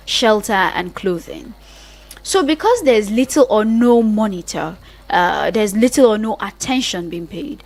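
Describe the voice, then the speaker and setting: A woman with a Nigerian accent presenting an explanatory radio talk in an earnest, emphatic manner, often repeating phrases to list her points. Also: mid-range voice.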